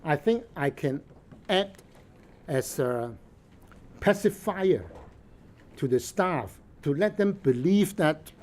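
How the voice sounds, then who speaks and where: Older man, higher-pitched, measured. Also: lively intonation, darkish tone. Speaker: senior official being interviewed. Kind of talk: formal interview answer.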